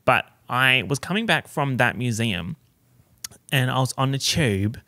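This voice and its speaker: A young man chats through his podcast in a campy, theatrical style, heavy on slang. His voice is gravelly with a bright, forceful edge, and the sound is close and dry. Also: medium pitch, expressive, a little slow, dry recording. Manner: campy, dramatic, slangy storytelling. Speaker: podcast host. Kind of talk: casual solo podcast chat.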